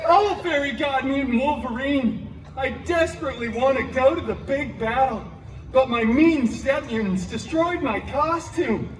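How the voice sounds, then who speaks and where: Guy doing a whiny melodramatic fairytale hero, high voice, begging, echoey pa. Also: a little slow, far from the mic. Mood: pleading. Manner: pleading, melodramatic. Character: whiny, desperate male superhero Cinderella, pleading. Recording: echoey PA outdoors, music and crowd.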